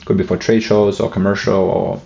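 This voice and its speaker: Low flat-voiced Russian man. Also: brisk, gravelly, highs cut off. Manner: hesitant, many fillers and restarts, light joking. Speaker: guest. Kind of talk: casual interview talk.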